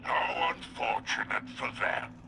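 An actor playing a greedy, calculating alien crime boss in a video game, with a gravelly, breathy growl and a smug, menacing manner. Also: male voice, unhurried, noisy background, lightly acted. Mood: smug, intrigued.

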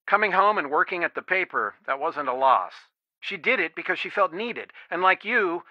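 A middle-aged father in a video game, protective and stern, with a very bright, forceful voice pitched a little high. He speaks earnestly and firmly, like one side of a serious phone call. The sound is dry and slightly dulled. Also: no echo.

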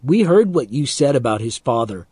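A man's voice: medium pitch, sing-song, moderate pace, warm tone, breathy edge, dry recording, clean studio sound. A man voicing a bold young boy in a story, urgent and argumentative as he protects his friend. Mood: challenging.

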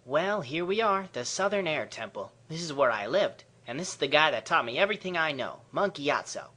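A man's voice: fairly high pitch, big pitch swings, brisk, sharp tone, slightly rough, full character voice. A man playing a cocky, carefree twelve-year-old boy hero in a comedic cartoon parody, flippant and boastful. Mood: breezy.

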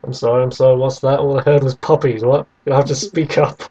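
Man, young adult, mellow voice, sarcastic banter, podcast host, slightly muffled lo-fi recording. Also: medium pitch, flat delivery, fairly quick. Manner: teasing, sarcastic. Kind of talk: casual banter about video games.

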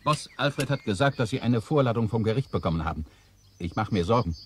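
A man voicing an earnest quick-talking robot. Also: fairly low pitch, animated, a little hiss or hum, lightly acted. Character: eager helpful male robot inventor. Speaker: robot servant. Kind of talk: 1970s radio play acting.

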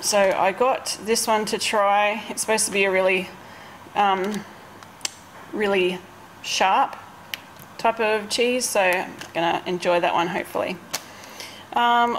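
Australian woman, 30s, mid-pitched, casual home vlogger talking off the cuff, faint noise behind her. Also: steady tone, slow, a bit bright, poor audio. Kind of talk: casual chat, narrating a grocery haul.

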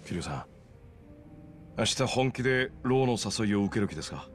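A male actor plays a sharp, well-informed guy from Tokyo in a video game story. He talks casually in a fairly low voice, laying things out clearly for his companions. Faint music runs underneath. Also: expressive, normal speed, lightly acted. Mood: probing. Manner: casual, explanatory. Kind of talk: video game drama acting.

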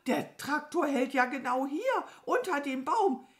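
A bossy, excitable storybook crow, acted out by a woman. The voice sits high and swings widely, with an agitated, scolding manner that frets over everything. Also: measured pace. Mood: alarmed. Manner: agitated, scolding, stammers when alarmed. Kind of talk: children's storybook character voice.

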